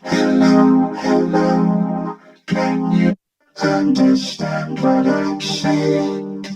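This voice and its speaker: Slow vocoder robot. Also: male voice, mellow, faint music behind. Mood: robotic, flat. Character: flat synthetic robot voice from a vocoder. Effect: vocoder robot filter over synth notes. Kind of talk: slow demonstration phrases on keyboard notes.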